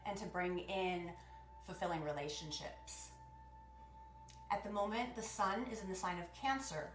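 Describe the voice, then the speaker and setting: A woman hosting a guided meditation, speaking to listeners in a measured, instructive way as she gives spiritual guidance. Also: fairly low pitch. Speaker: guided meditation and sound bath host. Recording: roomy echo with music. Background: music.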